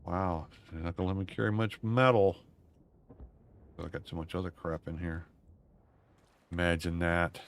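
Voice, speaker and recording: A man, streaming a game and muttering to himself in a drawling, self-mocking way, his voice going hoarse. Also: low-pitched, fairly even intonation, moderate pace, long pauses. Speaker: gaming streamer. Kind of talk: casual gameplay commentary.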